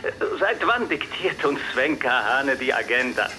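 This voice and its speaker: A man's voice: medium pitch, sing-song, measured pace, bright, forceful tone, slight background noise, heavily acted, rough recording. A man playing an evasive, officious community board official in a radio drama, formal and diplomatically hesitant.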